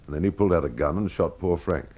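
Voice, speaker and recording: A man voicing a glamorous, coolly deceitful wife in a quiet, halting manner for an old-time radio mystery. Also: low voice. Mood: quiet accusation. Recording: dull lo-fi old radio sound.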